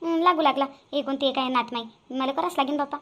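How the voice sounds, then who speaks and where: A woman's voice: sits high, fairly even intonation, fast talker, lo-fi sound, close to an everyday voice. A woman voicing a grumbling, domineering old grandmother in a cartoon family drama, with a Varhadi Marathi accent and a complaining manner. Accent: Varhadi (Vidarbha) Marathi.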